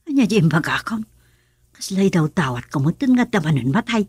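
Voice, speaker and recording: A woman's voice: lowish voice, sing-song, brisk, a little mellow, husky, no room sound, full character voice. A woman playing a matter-of-fact, mildly fussing village wife in a rural comedy radio drama.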